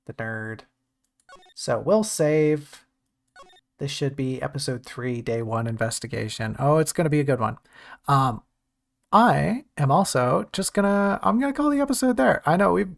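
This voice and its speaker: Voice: medium pitch, gravelly. A game streamer chatting casually and jokingly with viewers during a let's-play. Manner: chatty, jokey, talks to chat.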